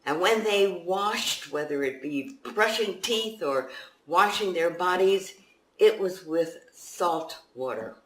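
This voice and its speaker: An older woman, an author telling and reading a story aloud with deliberate, dramatic emphasis on key words. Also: fairly low pitch, animated. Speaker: author reading from and presenting own book. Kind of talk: storytelling presentation and read-aloud. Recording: echoey room.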